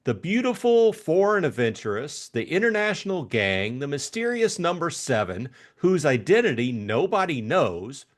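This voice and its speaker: Man playing a lively, lighthearted young gentleman. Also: on the high side, moderate pace, hardly any pauses, pitched above the performer's own voice. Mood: incredulous musing. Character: Jimmy, lighthearted young gentleman, teasing and incredulous. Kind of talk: audiobook character acting.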